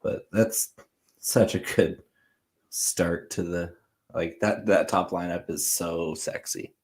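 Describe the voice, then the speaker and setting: A man co-hosting a casual fantasy sports show, relaxed and repeating words as he thinks. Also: low voice, takes it slowly, breathy edge. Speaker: co-host.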